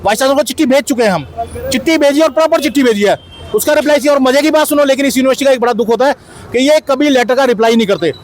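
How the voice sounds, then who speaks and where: A man's voice: extremely high pitch, rapid-fire, some chatter behind. A union president, insistently answering a reporter's questions at an outdoor protest, repeating his points. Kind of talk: on-the-spot protest interview. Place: outdoor protest site by a street.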